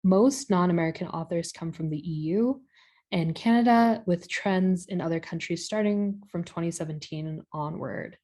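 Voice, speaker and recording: A woman's voice: fairly low pitch, moderate pace, warm tone. A young woman giving a student capstone presentation on a video call, hesitant at times, with fillers and self-corrections. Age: young adult.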